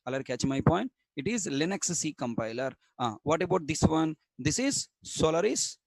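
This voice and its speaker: A man's voice: a little high, very animated, moderate pace, creaky, no room sound. A stern man from Coastal Andhra with a Telugu-accented Indian English, lecturing an online programming class, repeating points and quizzing students. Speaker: programming instructor.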